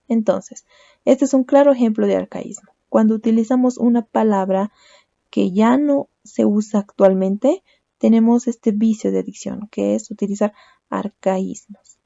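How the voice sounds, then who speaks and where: Gravelly, dark-voiced woman teaching. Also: medium pitch, dry recording, lo-fi sound. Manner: explains step by step, ends phrases with a questioning "¿no?". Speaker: language teacher. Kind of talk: recorded lecture.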